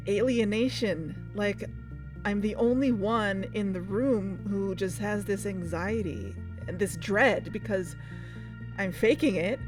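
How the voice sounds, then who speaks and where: A woman's voice: mid-range voice, close to an everyday voice. Actress as a skeptical, anxious female investigator, music behind. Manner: candid, doubting, conversational. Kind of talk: naturalistic fiction podcast acting as recorded conversation.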